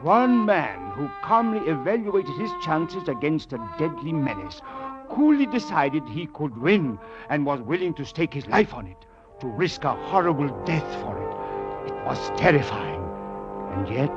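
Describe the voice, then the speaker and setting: An actor playing a troubled, fatalistic district commissioner, intense and brooding in an old-time radio drama style. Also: male voice, fairly high pitch, big pitch swings, unhurried. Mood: brooding, awed. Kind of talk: 1940s-50s radio drama narration and acting. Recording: muffled vintage radio recording, music behind.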